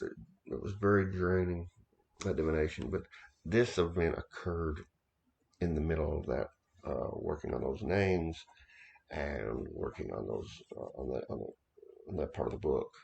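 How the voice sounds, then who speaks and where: A man in his thirties or older speaks in a very low, heavily gravelly voice. He tells a personal story in a loose, unscripted way, circling back, repeating phrases and pausing on fillers as he feels his way through the account. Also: a little mellow, lo-fi sound. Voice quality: very deep gravelly voice. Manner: halting, repeats himself, many restarts and fillers. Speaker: occult author recounting experiences. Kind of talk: casual first-person storytelling.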